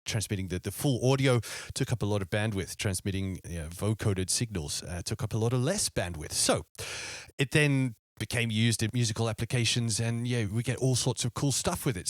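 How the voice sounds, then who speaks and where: A man's voice: medium pitch, expressive, a little fast, no room sound. A man hosting a music production tutorial, explaining step by step in an informal way and thinking aloud.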